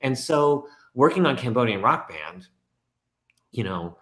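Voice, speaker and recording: A man, a stage actor and guest, talking through his work in a casual, animated way. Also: mid-range voice, a little slow. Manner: animated storytelling, reenacts his own thoughts. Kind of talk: casual conversation. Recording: echoey room.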